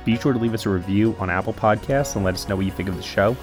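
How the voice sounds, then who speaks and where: A man wrapping up a podcast with a practiced, upbeat sign-off. His voice sits a little low. Faint music plays underneath him. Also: very fast. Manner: upbeat, practiced announcer delivery. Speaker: podcast host, outro. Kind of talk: read podcast outro.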